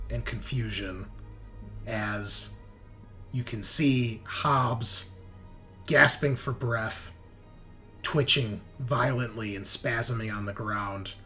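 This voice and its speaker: Slow, dramatic male game master. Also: medium pitch, bright, forceful tone. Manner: deliberate short phrases with suspenseful pauses. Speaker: game master of a tabletop roleplaying game. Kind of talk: dramatic improvised narration with table talk. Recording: music behind the voice. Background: background music.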